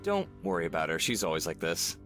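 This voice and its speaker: A man's voice: low voice, huge pitch swings, brisk, heavily acted. An actor playing a kindly, easygoing man in a visual novel. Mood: reassuring. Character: kindly, easygoing man who calls others kiddo. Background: soft game music with guitar.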